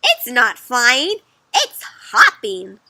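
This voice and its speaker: A woman's voice: on the high side, huge pitch swings, drawn-out words, background noise, full character voice. A woman voicing a stubborn, contrary picture-book character who draws out words emphatically for young children. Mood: firm, contradicting. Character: stubborn contrarian person sure they see a rabbit.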